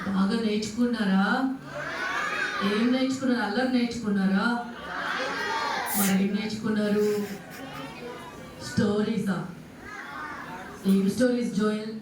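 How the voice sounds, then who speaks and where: A friendly uncle puppet voiced by a performer greets everyone and quizzes children with simple questions in a live show. The voice sounds distant in a very echoey hall, over clear crowd noise. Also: mid-range voice, steady tone, a little slow, long pauses, lightly acted. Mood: playful questioning.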